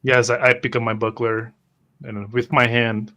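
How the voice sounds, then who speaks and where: Bright, forceful man playing a tabletop game. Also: mid-range voice, little pitch movement, moderate pace. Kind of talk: first-person improvised roleplay.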